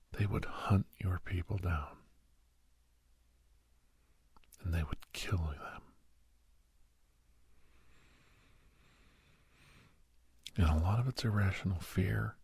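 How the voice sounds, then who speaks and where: Low, very breathy man acting as counseling doctor. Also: dry recording, heavily acted. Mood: sober, warning. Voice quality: breathy, hushed. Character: calm, earnest male doctor counseling a young werewolf. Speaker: ASMR role-play performer. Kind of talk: soft-spoken ASMR role-play monologue, often whispering.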